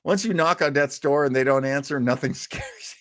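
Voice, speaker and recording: A man's voice: on the high side, animated, normal speed, no room sound, clean studio sound. A man hosting a livestream in a chummy, joking, rambling way as he chats casually with viewers. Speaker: livestream host. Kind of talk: casual live chat with viewers.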